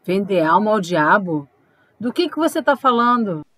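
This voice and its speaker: A woman's voice: medium pitch, big pitch swings, normal speed, a bit bright. A woman playing a concerned, questioning love interest in an audio drama, coaxing and inquisitive.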